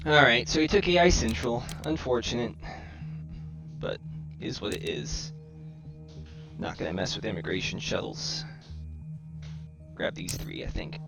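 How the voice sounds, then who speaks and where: A man's voice: on the low side, lively intonation, a little slow, creaky, pauses often. A man with robotic microphone distortion, giving casual gameplay commentary and thinking aloud in short, clipped remarks. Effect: robotic-sounding microphone distortion. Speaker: gamer commentating own match. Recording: robotic, glitchy microphone sound. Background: music.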